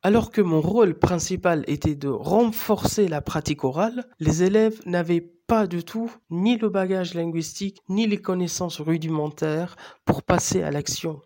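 A man in his thirties, a native Arabic speaker, hosting a podcast with measured, scripted narration. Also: sits high, warm tone, slight background noise. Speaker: podcast host and Arabic teacher.

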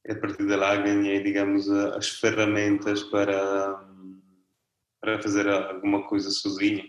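A man from Italy with an Italian accent, a festival director speaking Portuguese as a second language in a relaxed, hesitant interview. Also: fairly low pitch, moderate pace, reverberant, rough recording. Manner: relaxed, hesitant, searching for words. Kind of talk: interview guest.

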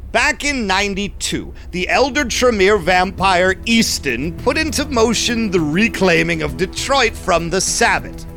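A man's voice: sits high, bright, forceful tone, full character voice. An actor playing a sly, self-assured male vampire businessman who schemes and tells his own story in an audio drama. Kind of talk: audio drama acting and first-person narration.

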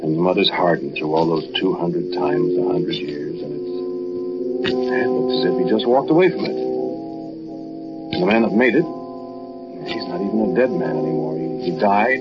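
An actor playing a brooding, jealous murderer, narrating with deliberate intensity in a 1940s radio drama. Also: male voice, deep voice, close to an everyday voice. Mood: musing. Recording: muffled 1940s radio recording, loud music behind.

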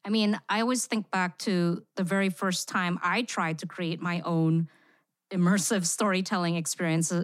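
A woman's voice: on the low side, fairly even intonation, normal speed, no room sound. Reflective woman with a dry, close sound. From born in Indonesia, raised in Singapore. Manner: reflective, frequent "you know" fillers. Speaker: guest, immersive experience writer. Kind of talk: podcast interview.

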